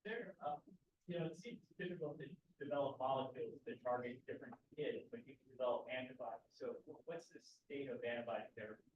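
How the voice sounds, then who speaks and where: A man's voice: mid-range voice, fast. A man asking a spontaneous question from the audience at a lecture. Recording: roomy, muffled, loud room noise.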